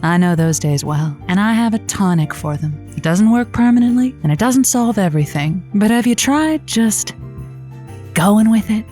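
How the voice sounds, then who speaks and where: Casting: actress as flirty lonely-hearts radio hostess, mid-pitched, teasing and theatrical, radio comedy. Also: female voice, lively intonation, normal speed, mellow, faint music behind, natural voice. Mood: playful, upbeat. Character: playful, flirty woman hosting a late-night lonely-hearts radio show. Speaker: radio show host. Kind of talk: comedic radio drama monologue.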